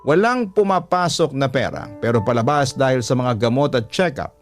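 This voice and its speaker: A man's voice: medium pitch, lively intonation, moderate pace, soft background music. A man working as a radio storyteller, narrating a listener's letter in the first person in a dramatic style.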